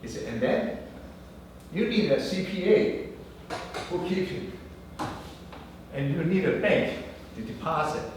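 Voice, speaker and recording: A man's voice: on the high side, measured pace, pauses often. A man whose first language is not English, giving a business talk and acting out the people in his stories. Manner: animated storyteller acting out dialogue. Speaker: guest speaker. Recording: echoey room, mic far off, faint noise.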